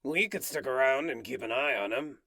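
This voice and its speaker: A man's voice: mid-range voice, fairly quick, pushed and bright. A man voicing a brash but easygoing crocodile detective boss in a cartoon comic dub, blunt and slangy. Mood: reassuring, frank. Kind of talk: comic book fan dub cartoon acting.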